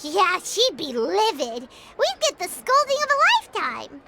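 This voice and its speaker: Squeaky little floaty companion girl, teasing and excitable, super high and bouncy, game music loud behind. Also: very noisy, full character voice. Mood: chatty. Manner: teasing, excitable, quick shifts. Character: tiny floating female companion, chirpy and blunt. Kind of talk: game voice acting.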